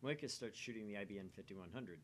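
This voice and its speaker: A man's voice: on the low side, fast talker, a bit bright, a little hiss or hum. A man hosting a gaming channel, reading aloud expressively and dropping in casual asides.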